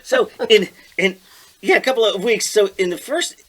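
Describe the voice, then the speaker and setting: A man's voice: high-pitched, lively intonation, moderate pace, a bit bright, slight background noise. An American man co-hosting a podcast, discussing politics casually with sardonic rhetorical questions. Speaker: podcast co-host.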